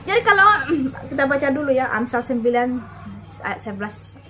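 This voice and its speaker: A woman in her thirties giving an animated, casual sermon-style talk, often addressing her listeners as "Bapak Ibu" and reading verses aloud. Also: sits high, moderate pace, music in the background. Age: 30s. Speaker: online preacher.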